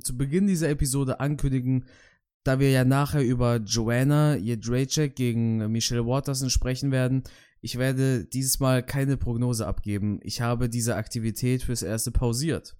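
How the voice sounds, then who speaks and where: A man's voice: medium pitch, fairly even intonation, normal speed, darkish tone, clean tone. A man co-hosting a casual podcast about martial arts, talking in loose chat with lots of fillers and restarts. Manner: hesitant, many fillers and restarts. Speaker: podcast co-host.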